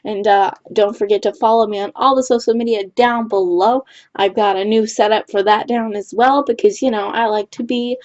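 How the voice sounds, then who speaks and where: A woman's voice: mid-range voice, fairly even intonation, normal speed, a little mellow. A teenage girl chatting casually while she plays, rambling with lots of fillers and restarts. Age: teens. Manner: rambling, filler-heavy, restarts often with "like" and "right?". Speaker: gaming YouTuber. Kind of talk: casual storytime chat over gameplay. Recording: dull lo-fi home mic.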